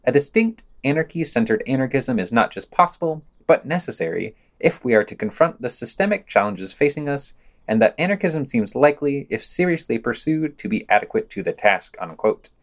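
Animated man reading podcast news, mid-pitched. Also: fairly quick. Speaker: podcast host. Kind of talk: scripted news-and-opinion reading with wry commentary.

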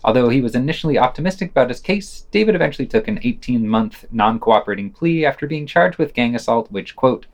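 A man's voice: medium pitch, lively intonation, fast, hardly any pauses. A man presenting a podcast, reading scripted reviews with dry commentary. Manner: reads quotations aloud, marking quote and unquote.

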